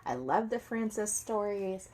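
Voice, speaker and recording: A woman reading children's books aloud in an expressive way and chatting to listeners about the pages and pictures. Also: medium pitch, takes it slowly, low-quality recording. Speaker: reader of children's books for an online series. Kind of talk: animated read-aloud storytelling with comments to viewers.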